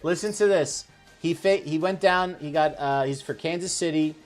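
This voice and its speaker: A man's voice: on the high side, moderate pace, faint music behind. A man hosting a sports talk show, casually presenting stories, reading out stats and putting questions to the panel.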